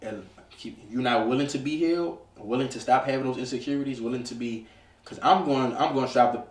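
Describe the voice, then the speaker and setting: A man's voice: mid-range voice, quick pace. Young man chatting in an echoey room. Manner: slangy, emphatic, repeats himself for emphasis. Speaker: podcast co-host. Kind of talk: casual group chat about relationships. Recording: echoey room, distant mic.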